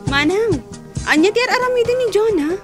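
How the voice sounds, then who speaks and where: A woman's voice: extremely high pitch, lively intonation, a little fast, over music. A woman acting a young, nagging but accommodating tattler in a radio drama, with an Ilocano accent. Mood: concerned.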